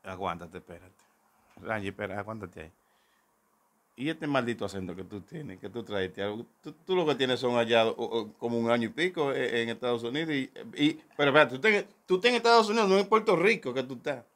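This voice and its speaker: A man's voice: mid-range voice, lively intonation, fast, a bit bright. A man in his thirties with a Dominican accent, hosting a joking, teasing chat in a small cluttered clothing shop on a desk mic. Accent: Dominican Spanish. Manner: joking, teasing, laughs often. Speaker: host. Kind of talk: casual comedic chat. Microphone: desk boom mic.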